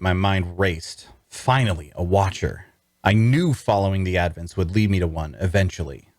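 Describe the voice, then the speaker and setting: The earnest, down-on-his-luck wanderer a man acts out narrating a fantasy audio drama, low-voiced, as if recorded in a padded booth. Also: dry recording, studio quality. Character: earnest, cash-strapped young male traveler telling his tale. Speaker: narrator and lead actor in a table read. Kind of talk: first-person fantasy narration and dialogue.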